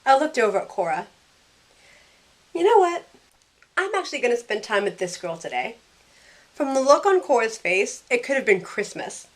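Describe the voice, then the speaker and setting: A woman's voice: on the high side, big pitch swings, moderate pace, pauses often. A woman playing a sarcastic, self-questioning young woman who narrates her own story in an audio drama. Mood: bright, playful. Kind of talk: first-person audio drama narration and dialogue.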